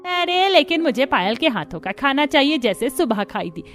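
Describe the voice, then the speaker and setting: Casting: woman as grumbling cartoon mother-in-law, older, bossy, highish voice, music underneath. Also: big pitch swings, moderate pace, fairly bright tone. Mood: complaining. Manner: complaining, bossy. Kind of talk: Hindi cartoon story dialogue. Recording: music behind the voice.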